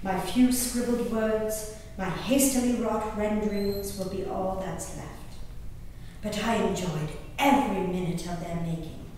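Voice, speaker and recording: A woman performing an earnest, theatrical diary monologue as an emotive eighteenth-century colonial gentlewoman, with formal diction. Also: mid-range voice, unhurried, natural voice. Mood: wistful gratitude. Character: grieving, nature-loving 18th-century colonial gentlewoman reading her diary. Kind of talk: dramatic stage monologue reading of a diary. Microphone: distant room microphone. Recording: very echoey hall, distant mic, background hiss.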